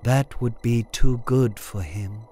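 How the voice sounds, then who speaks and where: A man voicing a bitter, solemn male captive leader who speaks slowly and quietly. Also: medium pitch. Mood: grim.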